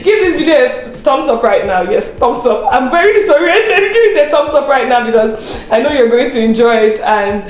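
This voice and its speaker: A woman's voice: sits high, normal speed, hardly any pauses. Laughing woman in an echoey room. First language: Igbo. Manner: laughs through the telling, animated. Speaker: YouTube host. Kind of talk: casual storytelling chat.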